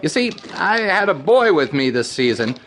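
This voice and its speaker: An actor playing a wheedling, folksy circus candy seller in an audio drama, with a self-justifying, rustic way of talking. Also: male voice, a little high, very animated, normal speed, fairly bright tone, slight background noise. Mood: self-pitying, boastful.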